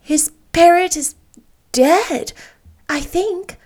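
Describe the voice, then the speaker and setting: A woman reading an audiobook, voicing an eager, imaginative young schoolteacher girl who talks in an excitable, gushing way. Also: sits high, takes it slowly, voice pushed up, heavily acted. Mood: flustered.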